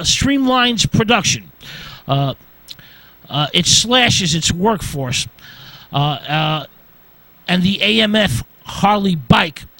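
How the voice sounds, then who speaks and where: A man with a high-pitched voice presents an informal history lecture on the radio. He works through his notes in short phrases, often halting to say uh. The sound is close and dry, with very little room in it. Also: fairly bright tone, dry recording, rough recording. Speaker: radio host.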